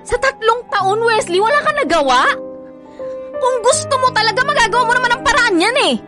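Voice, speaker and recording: A woman's voice: extremely high pitch, expressive, brisk, music in the background. An actress playing a hurt, insistent young mother and mistress in a Filipino radio drama, pleading and confrontational.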